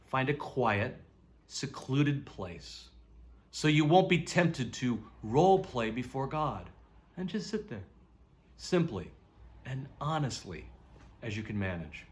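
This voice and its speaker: A man's voice: mid-range voice, sing-song, slow. A man reading scripture aloud for a church, with emphatic, direct delivery.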